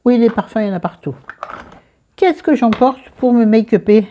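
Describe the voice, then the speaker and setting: A woman's voice: a little high, animated, normal speed, warm tone, a little hiss or hum. A middle-aged woman with a southern French accent, chatting casually and self-mockingly about her makeup and skincare. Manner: chatty, self-mocking, talks to viewers and friends by name. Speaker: beauty vlogger.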